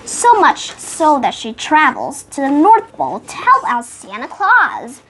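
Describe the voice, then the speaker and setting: A high, young-sounding storyteller reads a picture book with lots of expression. The delivery is playful and unhurried, with swooping intonation and chatty asides. There is faint noise in the home recording. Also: poor audio. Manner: expressive, playful, with gasps and exclamations. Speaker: co-host reading a picture book aloud. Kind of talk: animated read-aloud storytelling with chatty asides.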